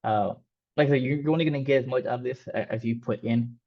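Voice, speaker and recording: A man's voice: mid-range voice, fast. A man whose first language is Spanish, teaching an online coding class in a loose, casual way with many fillers and restarts. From Mexico. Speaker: coding instructor. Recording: slightly dull, lo-fi sound.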